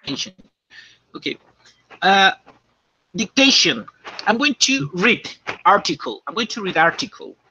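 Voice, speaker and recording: A man teaching English, dictating slowly and checking often that students understand. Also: high voice, very animated, pushed and bright, slight background noise. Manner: slow and repetitive, checking understanding. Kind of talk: classroom dictation lesson. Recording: dull lo-fi classroom recording.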